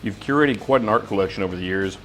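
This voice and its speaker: A man's voice: on the low side, fast, soft background music, poor audio. A man hosting an interview show in a casual, conversational style.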